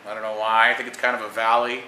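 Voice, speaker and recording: A man's voice: fairly low pitch, level tone, fast, pushed and bright. An American man from Phoenix, a rock musician talking in a casual band interview, joking and swearing freely. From Phoenix, Arizona, USA. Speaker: band musician, guitarist and singer. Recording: roomy echo, faint noise.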